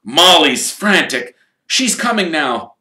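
Man playing an excitable, kindly father. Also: on the high side, slow pace, far from the mic, pitched above the performer's own voice, heavily acted. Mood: worried. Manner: enthusiastic, indignant, excitable. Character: excitable, kindly middle-aged father, balding. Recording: echoey room.

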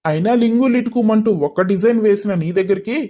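A man's voice: sits high, a little fast, mellow, natural voice. A man playing an irritated, taunting young businessman in a dramatised story, scolding and mixing in English words. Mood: taunting, accusing.

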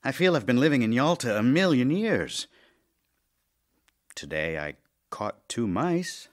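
An actor playing a middle-aged Russian playwright in an audio drama, wry and lovesick, with theatrical, deliberate pauses. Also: male voice, mid-range voice, very animated. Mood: lonely, gloomy. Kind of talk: theatrical audio drama, reading love letters.

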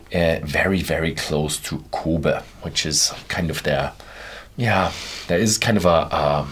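A man's voice: very deep voice, breathy edge. A man in his thirties casually reviewing a whisky from an armchair in a small studio, rambling with many fillers and restarts. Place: indoor studio with whisky shelves, seated in armchair.

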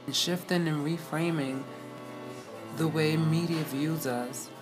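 A young woman speaks in a deep, measured voice, earnest and reflective as she tells her story for a documentary. The room rings around her, and music plays clearly beneath her words. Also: steady tone. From born in Maryland, raised in Puerto Rico and Brooklyn. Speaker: interviewee, artist and poet. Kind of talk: documentary interview. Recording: roomy sound with music underneath.

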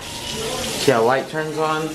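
A man's voice: fairly high pitch, fairly even intonation, slow pace, heavy background noise. A man in his thirties chatting casually and playfully as a family vlogger, recorded on a handheld camera. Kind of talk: casual handheld vlog chat. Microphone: phone or small camera at arm's length. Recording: loud music behind, roomy home video sound.

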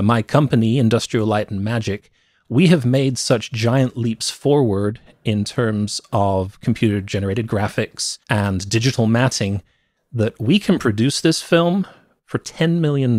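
A man's voice: mid-range voice, darkish tone, no echo, clean studio sound. A middle-aged man hosting a movie podcast, telling film history in a conversational, explanatory way. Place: small cluttered home office, indoors. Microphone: close podcast microphone with headphones.

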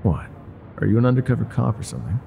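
A man playing a world-weary, gruff but secretly tender older ex-mafia bartender in an intimate, confiding audio roleplay. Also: mid-range voice, fast, dark tone, slight background noise. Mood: gruff warning. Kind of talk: intimate ASMR roleplay monologue.

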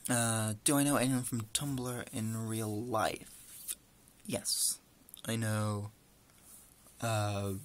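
Chatty young man, northern English accent. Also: lowish voice. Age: young adult. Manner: chatty and rambling, with dry asides. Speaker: vlogger. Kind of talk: casual answering of a question list.

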